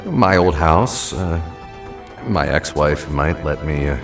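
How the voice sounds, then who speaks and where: A man's voice: rumbling low, lively intonation, fairly bright tone, faint music behind, heavily acted. A man in an audio drama playing a bewildered, shaken civilian who stammers and hesitates. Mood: hesitant. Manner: halting, stammering. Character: bewildered, shaken male civilian crime victim.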